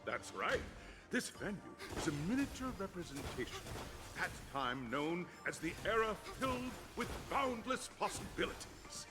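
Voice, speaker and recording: An actor playing a flamboyant, courteous master of ceremonies in a video game, announcing in a grandiose theatrical way. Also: male voice, high voice, big pitch swings, moderate pace. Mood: grandiose welcome. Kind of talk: video game character acting. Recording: music behind voice.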